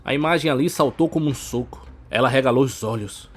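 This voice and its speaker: A man's voice: mid-range voice, fairly quick, slight background noise. A man with a Brazilian accent reading a scripted suspense audiobook as narrator, with music behind him.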